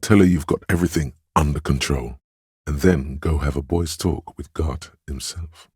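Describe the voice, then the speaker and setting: An extremely deep, heavily husky male inner voice speaks straight into a troubled detective's head. The delivery is measured, intimate and knowing, like scripted game narration, recorded dry and close. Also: a little mellow, no room sound, full character voice. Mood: sly, urging.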